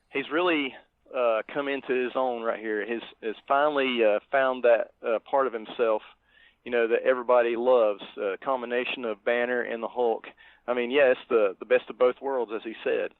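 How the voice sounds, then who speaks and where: A man co-hosting a podcast, talking casually about movies in a halting, reflective way. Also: mid-range voice, steady tone, no echo. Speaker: podcast co-host. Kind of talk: casual movie review chat. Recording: thin phone-like line, dry.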